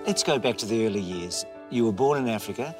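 A man hosting a broadcast interview, asking measured, prompting questions. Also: on the low side, expressive, loud music behind the voice. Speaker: interviewer, host.

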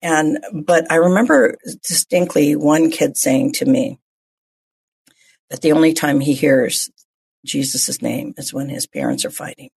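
Female radio host, very husky, low pitch, effusive, encouraging, conversational interviewing. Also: unhurried, a little mellow.

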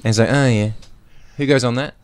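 A man's voice: medium pitch, brisk, a little hiss or hum. A man hosting a comedy podcast, with an upbeat, nasal voice, telling stories animatedly. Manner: animated storytelling, mimics others. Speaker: podcast host.

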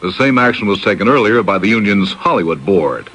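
A man's voice: fairly low pitch, animated, a little fast, poor audio. An American man anchoring a radio newscast, reading scripted news.

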